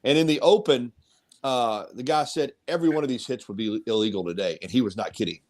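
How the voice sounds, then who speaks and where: A mature man talks sports in a conversational way. His voice is medium in pitch, and he is emphatic, often repeating phrases as he goes. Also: quick pace, darkish tone. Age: middle-aged or older. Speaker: sports commentator.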